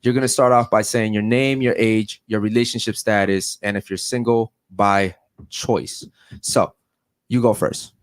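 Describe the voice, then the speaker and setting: A man's voice: on the low side, steady tone, measured pace, no echo. Laid-back male podcast host. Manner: laid-back, says man and shout out a lot. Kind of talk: casual panel chat.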